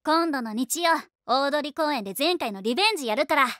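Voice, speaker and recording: Tomboy high-school bandmate, anime. Blunt, cool, clipped and boyish in delivery. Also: female voice, animated, brisk, fairly bright tone, dry recording, clean studio sound. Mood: cool, determined. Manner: rough, clipped, boyish speech. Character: blunt, cool tomboyish teenage band girl. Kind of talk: Japanese anime voice acting.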